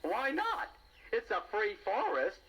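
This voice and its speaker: A man's voice: high-pitched, huge pitch swings, slow pace, bright, forceful tone, slight background noise, exaggerated voice, low-quality recording. A man voicing a chatty, easygoing snail in a children's audio storybook, jaunty and matter-of-fact. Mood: easygoing, curious.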